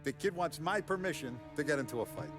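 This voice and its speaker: With music underneath, a male actor plays an American crime boss for a game cutscene. He is authoritative, shrewd and paternal, shaping deliberate phrases with wide, lively pitch. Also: close to an everyday voice. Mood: commanding. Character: commanding, paternal male mafia boss, shrewd and self-assured. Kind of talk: video game cutscene acting.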